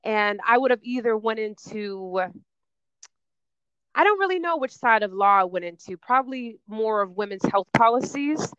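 A woman answers interview questions in an emphatic, self-assured voice. Her tone is smooth and resonant. The sound is dry and a little dull, with no room around it. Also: on the high side, expressive, moderate pace, a bit bright, no echo. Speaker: guest, fitness and wellness coach.

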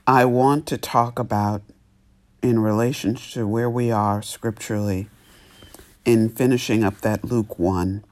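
Slow, sniffly male preacher. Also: lowish voice, fairly even intonation, darkish tone. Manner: slow, deliberate, emphatic pauses. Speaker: pastor. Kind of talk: sermon.

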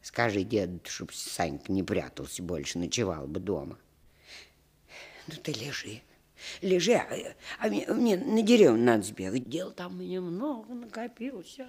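Old grandmother, radio drama, husky, very swooping intonation, folksy, grumbling, doting. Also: rumbling low, very animated, moderate pace, a little mellow, exaggerated voice. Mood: grumbling, relenting. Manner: folksy, grumbling, fussing speech. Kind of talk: radio play acting.

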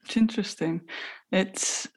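A woman with a gravelly voice in a casual panel discussion, speaking animatedly and hesitantly, with many restarts. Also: medium pitch, a little slow.

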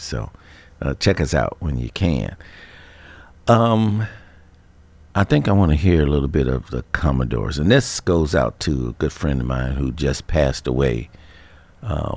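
A man's voice: extremely low pitch, creaky, darkish tone, no room sound. A man hosting a radio show, talking casually between songs in a playful, chatty, rambling way from a studio microphone.

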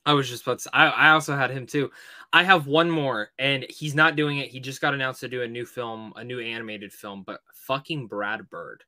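A man's voice: medium pitch, a little fast, bright, forceful tone, dry recording, studio quality. A man co-hosting a podcast, chatting casually about films, emphatic, repeating himself for effect and swearing freely. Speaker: podcast co-host. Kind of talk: casual film discussion.

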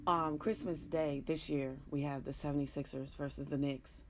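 Deep-voiced raspy woman, middle-aged sports talk host, hoarse, frank and rambling. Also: steady tone, normal speed, noisy background. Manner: frank, joking, rambling, with mild cursing. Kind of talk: casual sports talk monologue.

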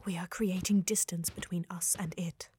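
A woman as Ruth, a measured, serious traveler. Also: on the low side, fairly even intonation, background noise, lightly acted. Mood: hushed, grave. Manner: measured, formal phrasing without contractions. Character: Ruth, calm, serious, careful female traveler. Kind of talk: audio drama acting.

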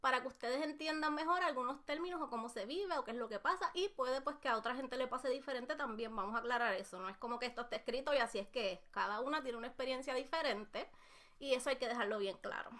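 A woman's voice: sits high, fast talker, a bit bright. A woman co-hosting a podcast, chatting and explaining things to listeners. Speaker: podcast co-host.

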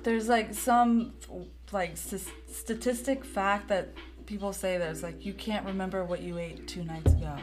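Female guest, mid pitch, chatty and excitable, clear music bed. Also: unhurried. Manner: chatty, enthusiastic, hesitant fillers. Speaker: podcast guest. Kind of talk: casual chat.

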